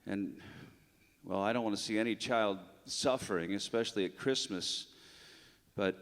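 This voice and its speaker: A man playing a weary, reclusive old man, curt and gently stubborn, in live radio drama. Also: lowish voice, moderate pace, natural voice. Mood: weary, regretful. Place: large hall stage, live radio show. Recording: live stage show, reverberant hall.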